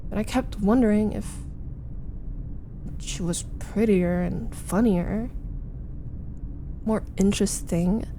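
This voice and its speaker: A woman playing a frustrated, jealous, needy young roommate venting in an intimate ASMR roleplay, with halting, dramatic delivery. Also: medium pitch, dark tone, a little hiss or hum. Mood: hurt, rueful. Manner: halting, sighing, dramatic, emphatic complaining. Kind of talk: ASMR roleplay, intimate first-person monologue.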